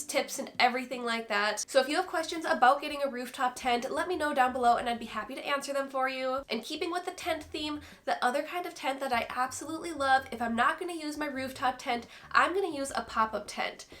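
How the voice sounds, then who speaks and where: A young woman in her twenties, from Minnesota, talks through a casual review vlog in a high voice. Her nose is stuffed up and her voice sounds nasal. She stays chatty and enthusiastic throughout. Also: fairly bright tone. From Minnesota, USA. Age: 20s. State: stuffed-up nasal voice after illness. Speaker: vlogger.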